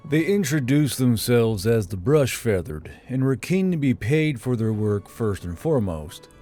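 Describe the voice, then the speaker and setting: A man's voice: medium pitch, big pitch swings, unhurried. A man reading a scripted fantasy story aloud as an audiobook narrator. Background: soft background music.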